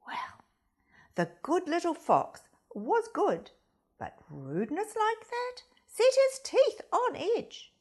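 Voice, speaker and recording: A woman storyteller reading a children's storybook expressively, with theatrical, deliberate phrasing. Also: extremely high pitch, sing-song, warm tone. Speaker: storyteller, primary school reader.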